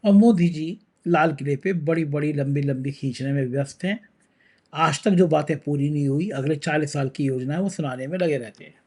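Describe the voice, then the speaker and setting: A man's voice: fairly high pitch, normal speed, dark tone, slightly rough. A man hosting a political news talk show, talking with a sore throat in a conversational, emphatic way.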